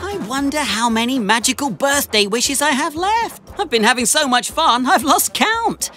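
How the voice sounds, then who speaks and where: A woman playing a cheerful, helpful young male tank engine in a children's animated series. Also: sits high, moderate pace. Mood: happy musing. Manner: exclamatory, helpful, polite. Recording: music behind the voice.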